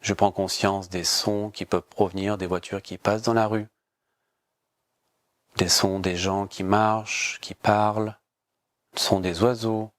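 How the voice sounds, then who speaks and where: A man with a fairly low voice guides a relaxation practice like a yoga teacher. His delivery is calm and measured, with repeated, simple instructions. The sound is dry and close, with little room on it. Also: dry recording.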